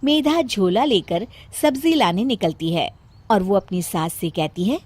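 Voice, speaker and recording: A woman's voice: mid-range voice, expressive, measured pace, a little mellow. A woman narrating a scripted story as a storyteller.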